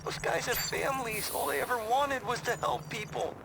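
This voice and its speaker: An actor playing a weary survivor in a video game, speaking in an earnest, halting way. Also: male voice, very high-pitched, lively intonation, creaky, heavy background noise, heavily acted. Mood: grieving, resigned. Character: weary, grieving survivor lending help. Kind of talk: naturalistic video game voice acting.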